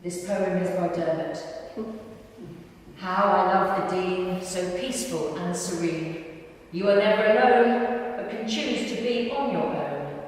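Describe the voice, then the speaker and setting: A woman reading poems and personal prose to an audience, reciting phrase by phrase in a measured way. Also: lowish voice. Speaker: reader at a live literary event. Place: large indoor gym hall at a live reading. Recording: echoey hall, distant mic, faint noise.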